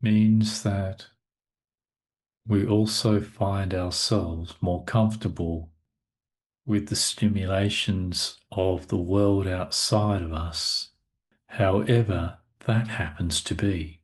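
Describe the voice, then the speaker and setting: A male meditation teacher talks at an extremely unhurried pace. His voice sits fairly low, and he delivers the guidance in short, measured phrases, leaving long silences between them. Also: steady tone. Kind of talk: guided meditation talk.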